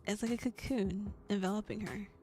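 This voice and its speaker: A woman streamer reading a visual novel aloud in first person, breaking off into animated, exclaiming reactions. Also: medium pitch, fairly quick, soft background music. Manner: animated reactions, gasps and laughs between read passages. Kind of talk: game playthrough, reading text plus reactions.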